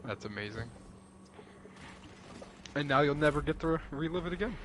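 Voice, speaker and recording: A man's voice: on the high side, lively intonation, moderate pace, fairly bright tone. Wry man teasing over a faint hum. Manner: wry, dry teasing, trails off. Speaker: streamer. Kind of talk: casual gaming chat.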